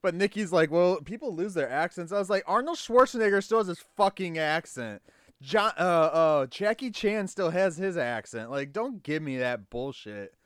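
A man's voice: fairly high pitch, lively intonation, moderate pace, no echo, studio quality. A man arguing his point in a casual chat, swearing freely.

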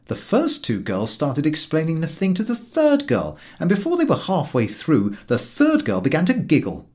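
Animated male storyteller, very wide-ranging pitch. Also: normal speed. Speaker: audiobook narrator. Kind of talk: expressive scripted narration of a humorous story.

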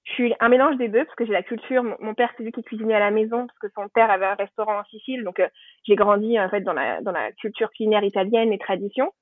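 A woman's voice: medium pitch, quick pace, no echo. A woman from France telling her family story in a casual podcast chat, full of fillers and restarts. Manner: chatty, warm storytelling with frequent fillers and restarts. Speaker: guest. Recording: tinny phone-line audio.

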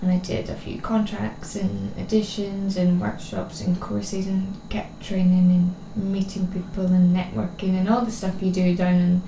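A young adult woman from Scotland with a Scottish accent that sometimes slips toward English, co-hosting a casual chat in a chatty manner with quick asides. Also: mid-range voice, little pitch movement, gravelly, dark tone. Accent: Scottish, at times English. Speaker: co-host. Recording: echoey room with faint noise.

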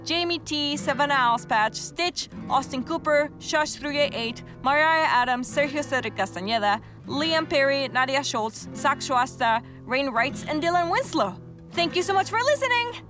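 A woman's voice: high-pitched, animated, moderate pace. A woman reading scripted end credits as a podcast announcer, with guitar music behind her. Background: plucked guitar music.

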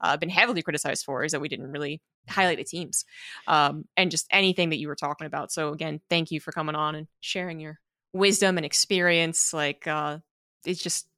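A woman hosting a casual interview chat. She agrees easily, laughs often, and answers with quick affirmations. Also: sits low, fairly even intonation, pushed and bright, no echo, clean studio sound. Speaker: podcast host.

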